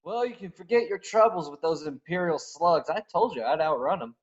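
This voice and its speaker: A man's voice: on the high side, expressive, fairly quick, muffled recording, lightly acted. A man playing a cocky, wry space smuggler pilot, brash and sardonic, in a scripted reading. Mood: smug. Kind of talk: scripted table read acting.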